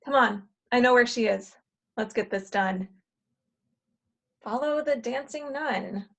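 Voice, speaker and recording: A woman's voice: fairly high pitch, takes it slowly, gravelly, exaggerated voice. A woman playing a bossy, scheming matchmaker who talks in an insistent, persuasive way in a comic play table read. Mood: brisk, commanding.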